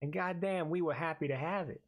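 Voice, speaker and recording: A man's voice: a little high, a little fast. A man casually commentating his gameplay, cursing and rambling with self-mocking jokes. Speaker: let's player.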